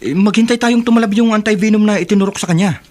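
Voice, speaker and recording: An actor playing an anxious, loyal young companion in a horror radio drama. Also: male voice, high voice, fast talker, darkish tone, close to an everyday voice. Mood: worried. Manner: tense, anxious.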